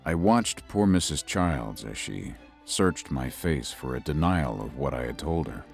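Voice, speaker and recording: Actor as a deep-voiced young lawyer narrating. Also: male voice, lively intonation, creaky, faint music behind, heavily acted. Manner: measured, tells the story with rising unease. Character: young male lawyer recuperating in the country. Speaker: narrator and lead. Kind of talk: audio ghost story narration.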